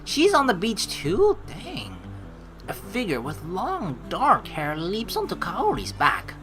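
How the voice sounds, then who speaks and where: A woman's voice: mid-range voice, takes it slowly. A woman doing let's play commentary, expressively reading the narration aloud and reacting to it, with game music in the background. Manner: expressive, exclaiming, reacts out loud. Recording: faint game music behind the voice.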